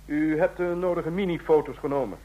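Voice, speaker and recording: An actor in a radio play playing a stern, condescending ambassador of a fascist state, speaking in formal, measured officialese. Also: male voice, on the high side, warm tone, close to an everyday voice, poor audio. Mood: formal, cool. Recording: clear hum and noise, old radio recording.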